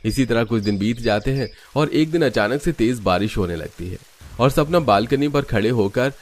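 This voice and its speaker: A man's voice: mid-range voice, moderate pace, a little hiss or hum. A man reading scripted narration for a cartoon family story.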